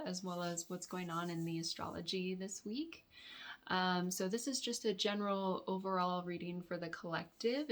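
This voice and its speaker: A woman with a raspy, dry-throated voice giving a weekly tarot forecast, offering reflective, meandering guidance to her viewers. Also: on the low side. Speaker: tarot reader and yoga therapist.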